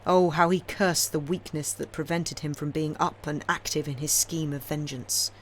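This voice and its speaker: A woman reading classic fiction aloud as an audiobook narrator, in a measured, expressive, dramatic storytelling style. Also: low voice, slight background noise. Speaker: audiobook reader.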